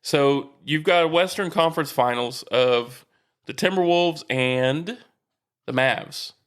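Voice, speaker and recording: A man's voice: fairly high pitch, slow pace, a bit bright. An American man co-hosting a sports podcast, chatting casually with a teasing, emphatic manner.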